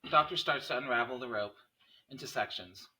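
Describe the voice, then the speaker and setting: A man's voice: mid-range voice, fairly quick, pushed and bright, poor audio. A man reading screenplay stage directions as narrator at a table read.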